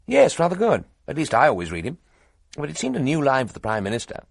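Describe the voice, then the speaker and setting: A man voicing an eager, chattering young upper-class man who gushes in a breathless, high-pitched way. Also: wildly swooping pitch, fast talker, creaky, no echo, clean studio sound, full character voice.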